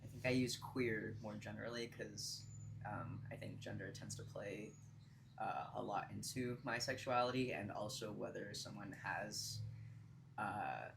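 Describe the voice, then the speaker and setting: Young guest, early 20s, mid-pitched, rambling and hesitant, clear hiss under the voice. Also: male voice, steady tone, unhurried. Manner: chatty, hesitant, lots of fillers. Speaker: podcast guest. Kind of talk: casual conversation. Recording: noisy background hiss.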